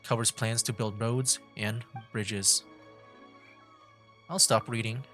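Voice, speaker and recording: A man's voice: fairly low pitch, steady tone, takes it slowly. Rambling male game streamer. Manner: rambling, self-interrupting, laughs at himself. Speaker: streamer playing a story game. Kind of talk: casual game commentary and reading aloud. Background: game music.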